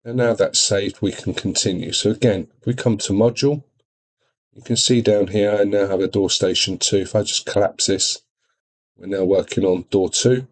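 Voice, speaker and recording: A man demonstrating software in an informal tutorial, explaining things step by step. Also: on the low side, fairly even intonation, normal speed. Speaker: product demonstrator.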